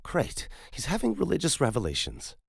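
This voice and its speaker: A man acts out a female paramedic in a horror audiobook, pitched well up from his own deep voice. She is sarcastic and blunt, with clipped, urgent phrases and a husky edge. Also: huge pitch swings, normal speed, no room sound, studio quality, heavily acted. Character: sharp-tongued, brisk, sarcastic woman paramedic.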